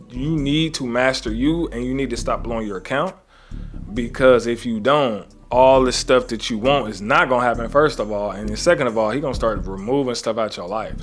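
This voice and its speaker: A man coaching traders in a motivational talk, earnest and conversational. He preaches gratitude, refers to his faith and often checks in with "right?". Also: medium pitch. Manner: conversational, often adds "right?" and "you know what I'm saying?". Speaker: trading coach.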